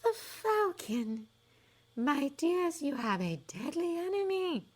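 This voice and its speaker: A woman voicing a dramatic, eccentric older female seer with a slow, breathy, mystical delivery. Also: sits high, big pitch swings, voice pushed up, full character voice.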